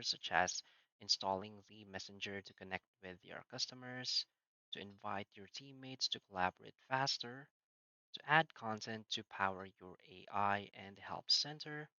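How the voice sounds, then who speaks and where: Bright-voiced man giving a software walkthrough. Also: lowish voice, no room sound, lo-fi sound. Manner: plain step-by-step instructions, frequent "so" and "uh". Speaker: software tutorial narrator. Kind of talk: screen-recorded walkthrough narration.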